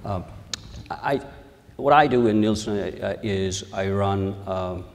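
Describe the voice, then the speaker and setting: A man with an Indian accent and a very deep voice speaks haltingly and leans on "you know" as he talks. He is recorded in a conference hall with a long echo. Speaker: panel speaker, research executive. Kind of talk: conference panel talk. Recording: large hall reverb.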